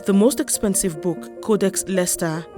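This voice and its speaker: A woman's voice: medium pitch, moderate pace. A woman reading a scripted news report. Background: music.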